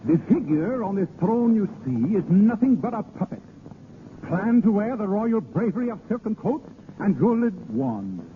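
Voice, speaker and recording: An actor playing a swaggering, romantic French vagabond poet and thief in flowery, declamatory 1930s radio style. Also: male voice, high voice, a little hiss or hum. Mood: mocking. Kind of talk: 1930s theatrical radio acting with sung verse. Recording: tinny, band-limited 1930s radio recording, music.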